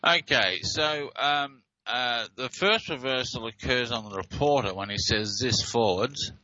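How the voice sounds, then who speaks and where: A man's voice: medium pitch, animated, a little slow, highs cut off, very noisy. A man presenting and explaining recorded clips in a casual, hesitant way, with many fillers and restarts. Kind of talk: casual explanatory talk over played clips.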